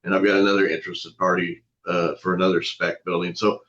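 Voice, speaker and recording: A man's voice: low-pitched, flat delivery, fast, fairly bright tone. An American man speaking off the cuff as a local official in an interview. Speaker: city mayor being interviewed. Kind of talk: unscripted interview soundbite. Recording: slightly dull, lo-fi interview audio.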